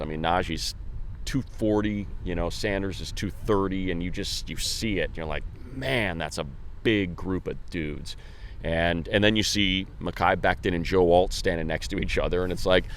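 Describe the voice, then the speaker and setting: A man co-hosting a sports show, giving casual, colloquial commentary and rambling as he thinks aloud. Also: deep voice. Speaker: sports co-host and play-by-play announcer. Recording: faint background noise.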